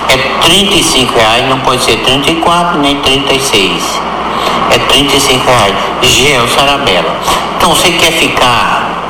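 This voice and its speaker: Man pitching in an echoey, noisy place. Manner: repetitive, emphatic, instructive. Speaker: product spokesman. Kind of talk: recorded sales pitch.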